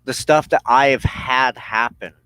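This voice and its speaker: A man hosting a spiritual broadcast, giving a reflective, unscripted monologue in an earnest, halting way with emphatic phrasing and pauses. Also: medium pitch, sharp tone, clear, resonant tone, no echo, rough recording. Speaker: host of a weekly spiritual broadcast.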